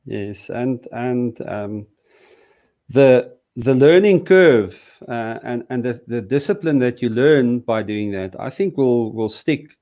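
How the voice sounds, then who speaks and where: A man from South Africa speaks haltingly, leaning on fillers and restarting his sentences. He sounds like a teacher explaining his course over a video call. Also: medium pitch, expressive, lo-fi sound. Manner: hesitant, many fillers and restarts. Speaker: Hebrew teacher. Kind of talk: casual interview conversation.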